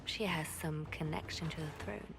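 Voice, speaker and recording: An actress playing a shrewd female spymaster who gives measured, secretive intelligence briefings in a fantasy game. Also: low voice, steady tone, creaky, a little hiss or hum. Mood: shrewd, serious.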